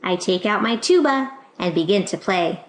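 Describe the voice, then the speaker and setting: A woman reading a children's picture book aloud in an expressive way, acting out the dialogue. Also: mid-range voice, normal speed. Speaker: storybook reader. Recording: roomy, echoing sound.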